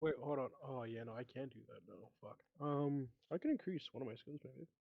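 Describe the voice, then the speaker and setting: A man's voice: mid-range voice, brisk, gravelly, no room sound. Casually swearing man, slightly dull dry mic. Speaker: tabletop game player. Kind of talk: casual chat.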